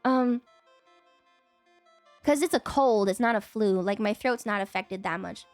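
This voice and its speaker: A woman's voice: medium pitch, unhurried, no echo, soft background music. A woman livestreamer with a cold, chatting casually and rambling, breaking into whiny, playful outbursts, on a desk mic at home. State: congested with a cold. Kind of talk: casual chat stream.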